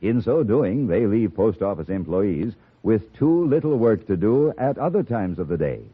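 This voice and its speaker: A man's voice: fairly low pitch, sing-song, moderate pace, breathy edge. A man with an American accent reading a scripted public service announcement in a 1950s radio style. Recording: tinny narrowband vintage radio recording.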